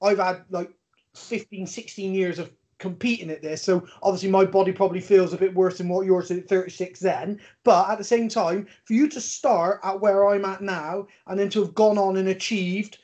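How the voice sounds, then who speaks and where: High-pitched Englishman, slightly dull recording. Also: fairly even intonation, normal speed, darkish tone. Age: 30s. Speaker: podcast host, MMA coach. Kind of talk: casual chat. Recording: dull, lo-fi sound.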